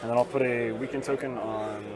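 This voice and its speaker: A hoarse-voiced man talking casually as he plays a tabletop wargame. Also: medium pitch, steady tone, brisk, poor audio. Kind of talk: casual game talk. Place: game store during a tournament. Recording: busy game store crowd behind.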